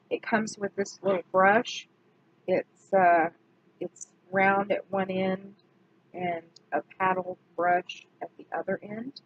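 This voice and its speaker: Slow-talking woman, slightly hoarse. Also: medium pitch, dull audio. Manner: chatty, self-correcting, slow and deliberate. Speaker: beauty vlogger. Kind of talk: casual product review.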